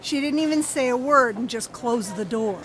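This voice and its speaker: Woman acting a high-voiced, pushy female reporter. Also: moderate pace, a bit bright, slight background noise, natural voice. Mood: shrewd, pressing. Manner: insistent, accusatory. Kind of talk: contemporary radio drama acting.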